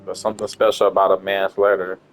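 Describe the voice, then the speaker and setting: A man's voice: low voice, monotone, fast talker. A man streaming a game, giving casual reactions in a blunt, profane way. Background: faint game music.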